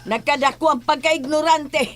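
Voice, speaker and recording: A woman's voice: high voice, normal speed, a bit bright. Cutting, bitter woman. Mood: scornful. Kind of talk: radio drama dialogue.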